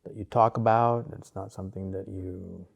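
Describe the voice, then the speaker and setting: A man in his fifties from Malaysia, an artist talking about his life in an interview, unhurried and full of pauses and fillers. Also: low-pitched, steady tone, gravelly, breathy edge, muffled recording. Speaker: artist guest. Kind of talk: relaxed interview conversation.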